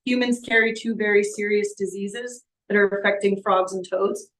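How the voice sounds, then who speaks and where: A slightly hoarse woman in her thirties, explaining animals to a class of young children. Her delivery is animated and full of questions for the kids. She is heard from a few feet away in a school classroom. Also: mid-range voice, poor audio. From Canada. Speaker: science educator. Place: elementary school classroom, indoors.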